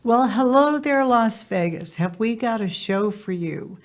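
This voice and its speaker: A woman hosting an informal interview show, effusive and quick to interject with reactions. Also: fairly high pitch, expressive, soft background music. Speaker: podcast host.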